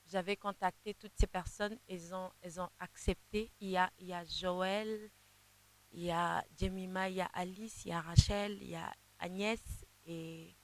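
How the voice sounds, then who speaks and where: A young woman, a gospel singer whose first language is Kinande, answering interview questions in a halting way, often repeating words as she searches for them. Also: mid-range voice, rough recording. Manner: sings softly in places.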